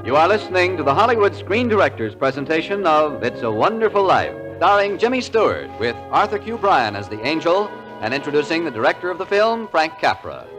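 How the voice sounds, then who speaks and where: A man's voice: on the high side, sing-song, fairly quick, hardly any pauses, over music, rough recording. A man announcing a radio drama in 1940s style.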